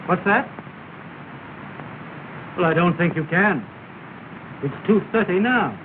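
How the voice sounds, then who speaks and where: An actor playing a polite gentleman answering the phone at a house, speaking slowly and formally on a tinny, hissy 1930 film soundtrack. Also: high-pitched, animated. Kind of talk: 1930s film acting.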